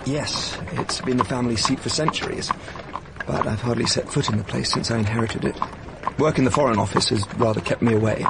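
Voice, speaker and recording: An actor playing a solid, virtuous English diplomat who speaks politely and formally, with an English accent. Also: male voice, mid-range voice, a little fast, vocal fry, heavy background noise, close to an everyday voice. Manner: polite, hesitant, formal phrasing. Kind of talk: BBC radio drama acting.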